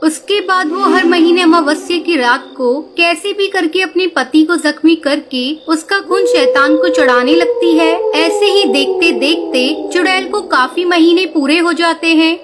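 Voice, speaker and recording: बहुत ऊँची आवाज़ वाली महिला कथावाचक. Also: female voice, very high voice, unhurried, few pauses, very noisy. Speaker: narrator. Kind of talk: scripted cartoon story narration.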